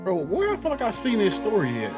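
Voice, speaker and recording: A man's voice: sits high, huge pitch swings, fast talker, over loud music. A man gaming on stream, giving casual slangy reactions and talking back to the characters. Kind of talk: casual live reaction commentary.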